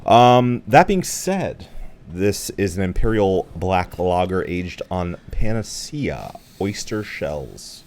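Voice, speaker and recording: A man's voice: sits low, very animated, slow pace, a little mellow. A man co-hosting a podcast who sounds stuffy from a cold, talking casually about a beer. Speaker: podcast co-host. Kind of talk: casual chat over beers.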